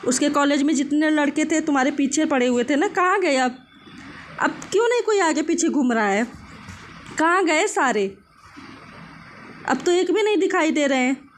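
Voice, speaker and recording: High-pitched scolding woman. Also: slight background noise. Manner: scolding, confrontational, repeats phrases mockingly. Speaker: vlogger commenting on an online controversy. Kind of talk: casual opinionated rant.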